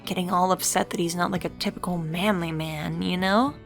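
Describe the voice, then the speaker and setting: A woman's voice: fairly low pitch, fairly even intonation, brisk, vocal fry, faint music behind. A woman voicing a friendly, curious and forthright young woman with an upbeat, chatty delivery in a story. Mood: reassuring.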